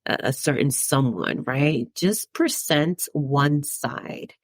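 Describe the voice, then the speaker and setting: A woman's voice: low voice, slow pace, dry recording, studio quality. A woman from the Dominican Republic hosting her own podcast, talking through her thoughts in a reflective monologue and often checking in with "right?". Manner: conversational, tags points with "right?", chuckles between thoughts. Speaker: podcast host.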